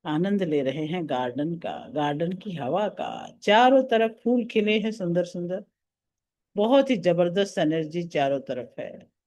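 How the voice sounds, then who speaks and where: A man leading a guided meditation as a teacher, giving soothing, deliberate instructions. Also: sits high, sing-song, a little mellow, highs cut off. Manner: soothing, deliberate, counting out breaths and steps.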